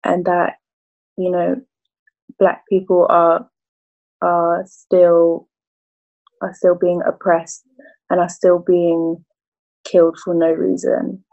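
An eighteen-year-old woman speaking in a measured, hesitant way as a guest on a video call panel. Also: fairly low pitch, completely flat delivery, creaky, a little mellow, dull audio.

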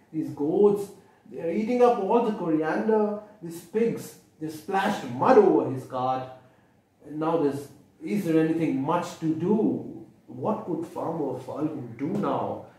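Children's storyteller: Indian-accented man, unhurried, very animated intonation, recorded in an echoey room away from the mic. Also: fairly high pitch. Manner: expressive, repeats and explains for young listeners. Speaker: storyteller reading a picture book aloud. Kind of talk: children's read-aloud with explanations and questions. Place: small room indoors, seated at a table. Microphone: camera mic from a few feet away. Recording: echoey room, distant microphone.

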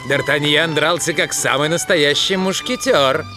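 A man playing a gruff, loyal adult dog musketeer in a dubbed cartoon. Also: fairly high pitch, a little slow, close to an everyday voice. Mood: grateful. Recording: old cartoon dub, loud music behind.

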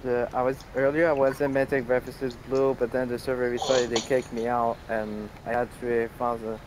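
Casual gaming host, male, meandering talk, voice chat with clear background noise. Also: medium pitch, flat delivery, normal speed. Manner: rambling, restarts sentences. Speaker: channel host and gamer. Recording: noisy voice chat line.

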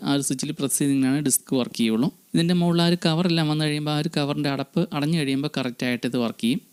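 A man narrates a device restoration, explaining each step to his viewers. He speaks very quickly, with a smooth, clean tone and a mid-range pitch. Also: darkish tone. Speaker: electronics repair channel host. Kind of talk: voice-over narration of a restoration tutorial.

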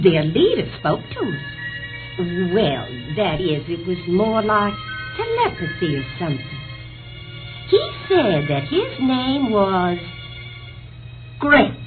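An actress playing a chatty, hospitable country housewife in an old radio drama, earnest and fussing. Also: female voice, medium pitch. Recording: muffled old radio recording with music.

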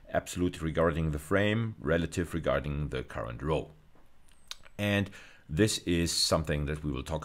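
Casting: middle-aged man, low-pitched voice, university lecturer explaining technical material in measured, emphatic phrases. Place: indoors, recorded lecture over slides.

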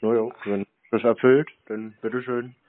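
A man's voice: mid-range voice, fairly even intonation, normal speed, no echo. A man chatting casually on a call, unfazed and nonchalant, with a dryly provoking way of talking. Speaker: scam-baiter. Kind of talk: casual phone conversation. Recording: dry, narrow phone-like sound.

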